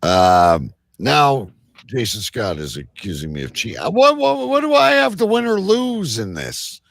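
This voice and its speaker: A man hosting a bantering comedy quiz, halting as he sets up questions, then reading them out emphatically. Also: mid-range voice, wildly swooping pitch, creaky, fairly bright tone, no echo, studio quality. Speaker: quiz host.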